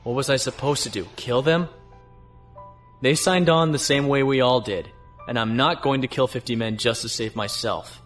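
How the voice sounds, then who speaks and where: A man's voice: mid-range voice, moderate pace, a bit bright, faint music behind, natural voice. A man playing a weary, principled starship captain in a science fiction audio drama, reflective and earnest. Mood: firm, defensive.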